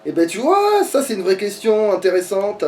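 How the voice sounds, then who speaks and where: Man, high-pitched voice, casual let's play commentary, exasperated swearing, far from the mic. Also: very animated, moderate pace, darkish tone. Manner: foul-mouthed, exasperated ranting. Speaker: let's player, playing the game. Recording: distant mic, slightly noisy.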